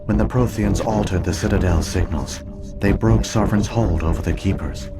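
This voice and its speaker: An actor playing an ancient alien virtual intelligence in a video game, speaking in a measured, formal, solemn and coldly logical manner. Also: male voice, low voice. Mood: calm, explanatory. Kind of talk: scripted video game voice acting, exposition. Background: game music.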